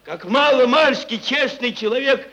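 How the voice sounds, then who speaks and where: Theatrical audio drama: a crude, cynical merchant with a bright, forceful voice. He swings widely in pitch as he mocks, rambles and circles back over the same words. He takes his time, bullying and needling at every turn. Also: animated, measured pace, heavily acted, rough recording. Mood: bitter contempt. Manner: rambling, repeats words, chuckles, mocking. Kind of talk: theatrical stage acting, Russian classic prose.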